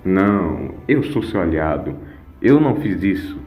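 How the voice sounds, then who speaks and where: A man's voice: deep voice, big pitch swings, a little slow, dull audio. Scheming drifter, acted by a male narrator: insistent, goading, dismissive, echoing sound with music. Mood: defensive. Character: scheming male wanderer villain, insistent, manipulative.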